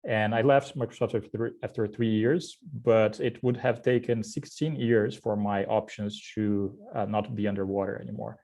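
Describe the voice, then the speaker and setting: A man with a Brazilian accent, around forty, introduces himself in a relaxed way over a video call. His voice is low and soft, with little brightness. He often hesitates with "uh" and jokes at his own expense. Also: normal speed, few pauses. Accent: Brazilian Portuguese. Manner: frequent uh fillers, friendly and self-deprecating. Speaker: guest speaker, head of corporate strategy. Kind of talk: casual webinar self-introduction.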